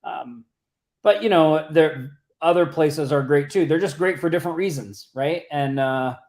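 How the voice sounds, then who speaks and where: Casual co-host, man from Oklahoma, slightly higher voice, rambling and friendly-loose delivery. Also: a little slow, darkish tone. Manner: chatty, loose, lots of fillers and restarts. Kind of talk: casual livestream chat. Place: home or office in Las Vegas.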